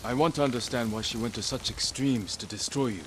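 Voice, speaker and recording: An actor playing a brooding, loyal samurai in a video game, measured and serious as he questions his companion. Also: mid-range voice, noisy background, close to an everyday voice. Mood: serious, probing. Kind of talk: dramatic game voice acting. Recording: game ambience and hoofbeats over voice. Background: horse hoofbeats, outdoor ambience.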